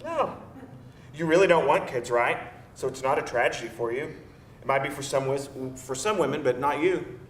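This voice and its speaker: A man's voice: moderate pace, close to an everyday voice. Man acting a blunt, teasing boyfriend, echoey. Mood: earnest. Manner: casual, bantering. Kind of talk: conversational screenplay table read. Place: live table read in a roomy indoor space. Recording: distant mic, echoey room, rumble.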